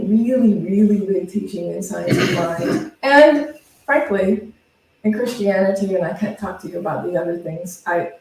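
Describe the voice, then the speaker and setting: Woman minister, unhurried and conversational spiritual talk, recorded from a distance in a very reverberant space. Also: mid-range voice, a little mellow. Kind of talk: informal teaching talk to a congregation. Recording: very echoey room, distant microphone.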